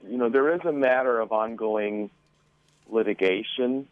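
A man's voice: mid-range voice, measured pace, dry recording, phone-quality sound. A man speaking as an interview guest in a careful, diplomatic, somewhat hesitant way. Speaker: school board member, interview guest. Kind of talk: measured interview answers.

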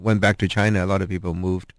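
Very deep-voiced male interviewer. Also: little pitch movement, fast, no echo, clean studio sound. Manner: thoughtful, hesitant, many ums. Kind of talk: casual interview chat.

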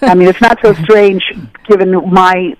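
A woman telling stories as an interview guest, animated and conversational, acting out the voices of people she quotes. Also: medium pitch, measured pace, no echo, low-quality recording. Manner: animated, acts out dialogue in voices. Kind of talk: casual conversational storytelling.

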